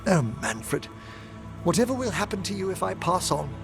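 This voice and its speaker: An actor playing a refined older necromancer scholar in a video game, gentle and wistful, with formal, eloquent, measured phrasing. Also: male voice, high-pitched, lively intonation, gravelly, music in the background. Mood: fond exasperation. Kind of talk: video game dialogue acting.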